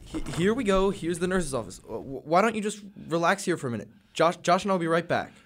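A man's voice: a little high, very animated, quick pace, fairly bright tone. An actor playing a nervous, hesitant teenage boy in a radio drama, stammering and slangy.

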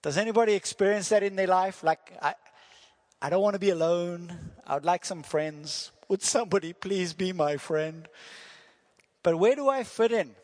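A man's voice: sits high, moderate pace, a little mellow. A South African man preaching as a visiting missionary, friendly and joking, asking the congregation questions. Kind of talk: sermon with prayer. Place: church hall. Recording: church hall reverb.

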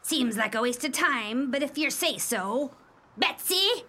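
A woman's voice: high-pitched, animated, a little slow, sharp tone, full character voice. A woman playing a grudging, earthy pirates' cook in a comic radio play.

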